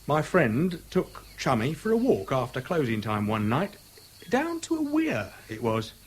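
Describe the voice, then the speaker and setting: A man's voice: medium pitch, wildly swooping pitch, a little slow, slightly rough, exaggerated voice. A British actor in a 1970s radio drama playing a chatty, outwardly affable man who nurses a grudge, speaking in casual slang. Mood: slyly menacing. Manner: colloquial, slangy, casually insinuating.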